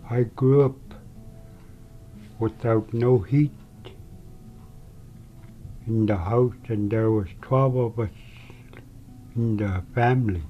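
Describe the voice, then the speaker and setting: An older man from Wales, Alaska, recalling his childhood in a halting, plain-spoken way. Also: on the low side. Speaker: elder interviewee. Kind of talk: oral history recollection. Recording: slightly dull recording with faint music behind.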